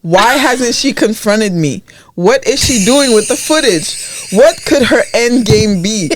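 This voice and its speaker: A woman's voice: a little high, expressive, unhurried, background noise. A woman whose first language is not English, co-hosting a casual podcast and reading out a listener's story, often breaking into laughter. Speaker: podcast co-host.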